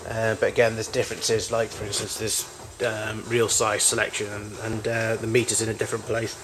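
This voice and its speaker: A man giving an informal, unscripted show-and-tell as a collector of old machines, in a halting, rambling way with frequent self-corrections. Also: lowish voice, completely flat delivery, fairly bright tone, poor audio. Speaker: collector showing vintage tape recorders.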